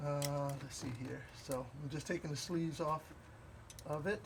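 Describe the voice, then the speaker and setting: A man's voice: fairly high pitch, creaky, noisy background. Wisconsin man talking through a car repair. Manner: relaxed, rambling, with fillers and pauses while working. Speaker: DIY car repair host. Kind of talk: hands-on repair walkthrough.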